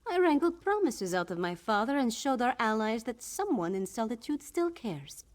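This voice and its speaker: A woman playing a brisk, determined young noblewoman in a fantasy video game, speaking frankly and in a businesslike way. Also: on the high side, very animated. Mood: wry, determined. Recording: game music behind the voice.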